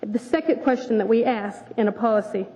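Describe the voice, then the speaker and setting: A woman giving forceful, insistent testimony at a city assembly meeting. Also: on the high side, a little fast. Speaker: public commenter. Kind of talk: impassioned public testimony. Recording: dull lo-fi recording in a reverberant hall.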